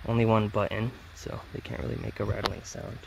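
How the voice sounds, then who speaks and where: A man with a fairly low voice and a noticeable creak narrates a nature vlog in the field. His delivery is casual and understated, spoken from behind a handheld camera. Faint outdoor ambient noise sits behind him. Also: little pitch movement, brisk, poor audio. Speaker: wildlife vlogger. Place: outdoors in woods and grassland.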